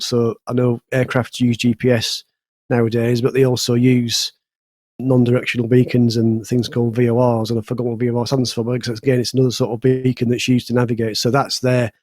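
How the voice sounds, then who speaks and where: A British man talks as a podcast panelist in a mid-pitched voice. He explains things informally and tends to ramble from point to point. The sound is dry, with no room echo. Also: a little mellow. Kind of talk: casual discussion of news.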